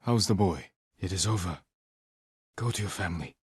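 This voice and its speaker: An actor playing an honourable, stoic samurai warrior in a video game, speaking in a measured, solemn way with short, clipped sentences. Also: male voice, low voice, animated, husky, no echo, clean studio sound, full character voice. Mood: calm, resolute. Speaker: video game lead character. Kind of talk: dramatic video game voice acting.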